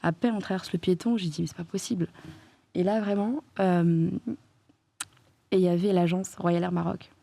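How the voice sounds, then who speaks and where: A woman's voice: on the low side, steady tone, normal speed, gravelly, long pauses, warm tone. A French woman talking as a guest in a casual chat, earnest and emphatic as she tells what happened to her. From France.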